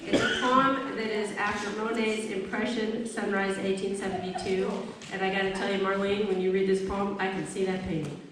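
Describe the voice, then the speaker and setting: A woman's voice: medium pitch, fairly even intonation, moderate pace, hardly any pauses. A woman informally introducing readers as the host of a live event. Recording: echoey noisy hall, far microphone, audience noise.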